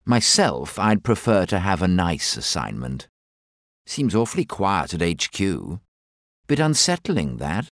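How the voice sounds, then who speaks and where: A polite British gunner. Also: male voice, deep voice, sing-song, unhurried, no room sound, clean studio sound, heavily acted. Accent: British English. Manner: polite, deferential military reports. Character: polite British artillery soldier, dutiful. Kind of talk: game unit voice lines.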